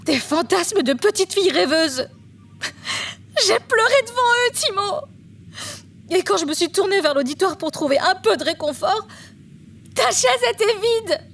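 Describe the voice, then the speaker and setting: A young actress playing a weary, hurt student in an audio drama. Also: female voice, very high voice, lively intonation, a little slow, pauses often, fairly bright tone, a little hiss or hum, heavily acted. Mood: hurt, tearful. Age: young adult. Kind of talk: French audio drama acting.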